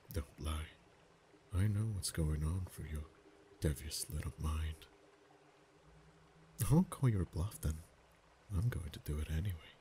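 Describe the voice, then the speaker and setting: A man voicing a proud, haughty male dragon in an ASMR romance roleplay, speaking softly with whispered and stammering stretches. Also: a bass voice, a little hiss or hum, full character voice. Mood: tender. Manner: soft-spoken, partly whispered, halting stammers.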